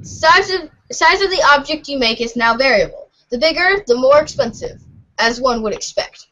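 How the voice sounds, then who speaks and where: A woman's voice: high voice, big pitch swings, normal speed, pushed and bright. A woman reading scripted narration for an amateur reader's theater, playful and mock-serious. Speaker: narrator, regular of the show. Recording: muffled lo-fi home recording.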